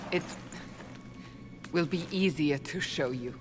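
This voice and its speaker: A woman's voice: low-pitched, slow pace. A woman playing a stern, hostile warrior interrogator in a fantasy game, blunt, commanding and accusatory. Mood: grave. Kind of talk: dramatic fantasy game acting. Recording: echoing hall, game music underneath.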